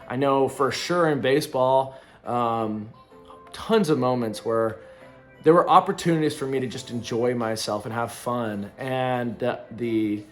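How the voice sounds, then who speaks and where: Guy in his 30s rambling to the camera, lots of ums, soft music under it. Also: medium pitch, unhurried. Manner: rambling, loose, full of ums and likes. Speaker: vlogger. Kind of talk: casual reflective monologue to camera. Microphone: camera in front of him.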